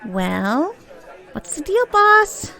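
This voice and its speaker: An actress playing a lively, teasing detective's sidekick in an audio drama, chatty and animated. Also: female voice, high voice, drawn-out words, creaky, some chatter behind, heavily acted. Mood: uneasy.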